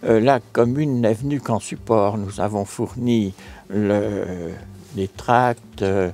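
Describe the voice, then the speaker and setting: An older man from France, a local mayor, recounting a restoration project in a measured, formal way in an outdoor interview. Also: fairly low pitch, darkish tone. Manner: measured, formal, a few fillers. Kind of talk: interview answers, measured account. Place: outdoors on a stone chapel tower balcony.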